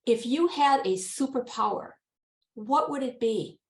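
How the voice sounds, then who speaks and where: A woman's voice: fairly high pitch, lively intonation, a little slow, gravelly. Woman giving casual advice in echoey room. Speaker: workshop participant offering advice. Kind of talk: casual advice with acted-out example questions. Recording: roomy, echoing online call audio.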